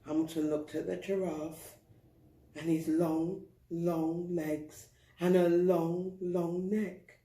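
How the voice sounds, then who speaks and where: A woman reading a picture book aloud to children, expressive and deliberate, drawing out words for emphasis. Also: lowish voice. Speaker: storyteller. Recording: roomy, echoing indoor sound.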